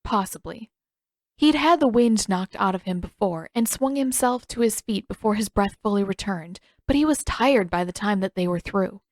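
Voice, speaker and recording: A woman's voice: mid-range voice, expressive, normal speed, no room sound. A woman reading scripted fiction as an audiobook narrator.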